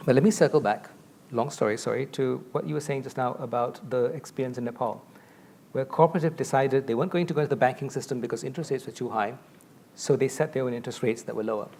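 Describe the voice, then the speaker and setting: Middle-aged guy from malaysia on a panel, explaining stuff at length, lapel mic, bit of low hum behind. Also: fast, darkish tone. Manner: expansive, explanatory, gestures a lot while talking. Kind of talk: conference panel discussion. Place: large stage with a curtain backdrop.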